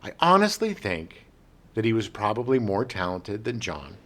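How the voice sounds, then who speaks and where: A man's voice: fairly low pitch, sing-song, moderate pace, fairly bright tone. A man co-hosting a podcast, talking casually about old movies in a rambling, self-correcting way. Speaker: podcast co-host.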